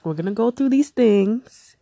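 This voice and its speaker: A woman's voice: fairly high pitch, expressive, a little slow, creaky, mellow, no room sound, studio quality. A woman hosting a casual solo podcast, sounding nasal from a cold and talking in a chatty, rambling way with tangents. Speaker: podcast host.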